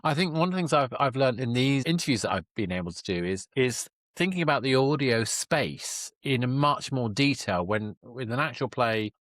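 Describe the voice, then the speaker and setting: A man's voice: mid-range voice, lively intonation, normal speed, few pauses, fairly bright tone, no echo, clean studio sound. A man hosting a podcast interview in casual conversation, thinking aloud and checking that he is understood.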